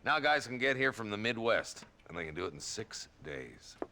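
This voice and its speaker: Grumbly old southern sheriff type from a cowboy game, real low voice, sarcastic, some noise behind. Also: male voice, sing-song, normal speed, pushed and bright, heavily acted. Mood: exasperated. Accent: American Southern. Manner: dry, folksy, grumbling. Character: weary, sardonic old frontier town marshal. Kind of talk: Western video game acting.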